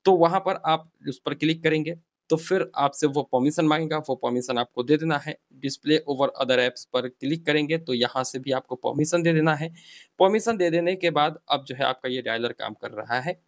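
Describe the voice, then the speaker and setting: Higher-voiced man giving a tech tutorial. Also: normal speed, dry recording, studio quality. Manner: explanatory, addresses viewers directly. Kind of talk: informal step-by-step tutorial voice-over.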